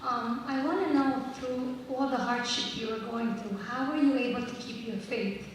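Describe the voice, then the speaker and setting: A woman's voice: a little high, moderate pace. Young woman's polite question in echoing hall. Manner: polite, slightly hesitant. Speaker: student in the audience asking a question. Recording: echoey hall from a distance, faint noise.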